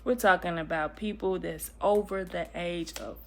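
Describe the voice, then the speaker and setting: A woman's voice: fairly low pitch, moderate pace, over music. A woman in her early thirties who hosts a casual reaction vlog, talking freely and animatedly, often restarting and repeating her phrases. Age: 30s. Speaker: vlogger reacting to clips. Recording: clicking noise in the background.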